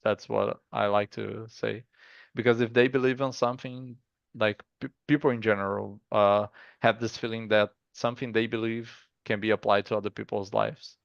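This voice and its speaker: A man with a Brazilian accent, a guest in a casual interview, who talks haltingly and often restarts with "like". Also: lowish voice, flat delivery, gravelly, no echo, dull audio. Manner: halting, stops and restarts, says 'like' often. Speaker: guest artist.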